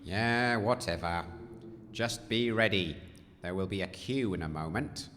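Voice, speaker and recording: A man plays a grumpy, weary station master in an audio comedy. The voice is low and dour, giving unimpressed instructions in a reverberant space. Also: a little slow, a bit bright. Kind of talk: comedy audio drama acting. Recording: reverberant, faint noise.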